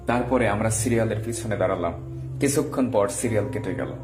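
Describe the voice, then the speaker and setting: A man's voice: on the low side, fast. A man narrating a ghost story in the first person as a horror storyteller. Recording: echoey voice over music bed.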